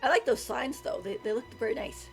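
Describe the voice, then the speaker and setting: A woman's voice: a little high, lively intonation, fairly quick, soft background music. A woman streaming a video game, chatting informally and thinking aloud as she goes. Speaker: livestreamer. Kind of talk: casual game stream chat.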